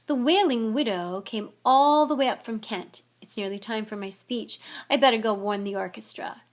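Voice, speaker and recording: A woman voicing a proud, formal, easily wounded gentleman ghost in a storybook reading. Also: medium pitch, steady tone, fairly quick, lightly acted. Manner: formal, stiff, bitter.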